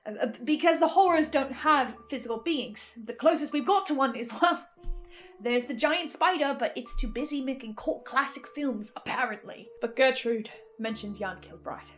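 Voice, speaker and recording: High-voiced, dramatic woman host. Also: moderate pace. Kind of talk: scripted lore analysis with theatrical asides. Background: faint music.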